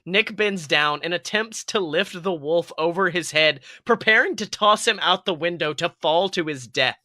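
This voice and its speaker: A man's voice: high-pitched, moderate pace, sharp tone, dry recording, clean studio sound. A man narrating a story as a podcast host, reading dramatically with theatrical emphasis.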